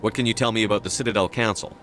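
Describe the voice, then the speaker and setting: Man as a fast-talking, blunt sci-fi hero. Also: lowish voice, fairly even intonation, a bit bright, soft background music, lightly acted. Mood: curious, direct. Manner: direct, terse questioning. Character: blunt, inquisitive human male visitor, sci-fi game protagonist. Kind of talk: video game dialogue.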